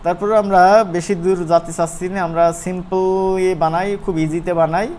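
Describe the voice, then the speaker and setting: A man gives a casual, chatty software tutorial in an informal, colloquial way, often checking in with his listeners. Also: high-pitched, little pitch movement, fairly quick. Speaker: animation course instructor. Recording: clear background noise.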